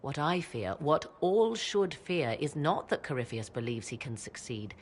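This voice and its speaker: An actress voicing a mysterious, secretive mage mother in a video game, speaking in a measured, formal, archaic way. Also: low voice, sing-song, breathy edge, full character voice. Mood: grave. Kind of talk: video game cutscene dialogue.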